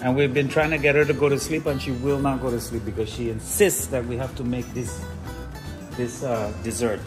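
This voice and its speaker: A man's voice: mid-range voice, steady tone, a little fast, music in the background. A man hosting a casual vlog, explaining a recipe and often checking in with short tag questions. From Trinidad. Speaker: vlog host. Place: home kitchen.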